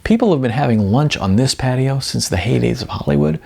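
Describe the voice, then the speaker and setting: A man's voice: mid-range voice, animated, fairly quick, creaky, a little mellow, slightly rough. A man voicing a contented, wistful, overweight male model in an audiobook, chatting casually.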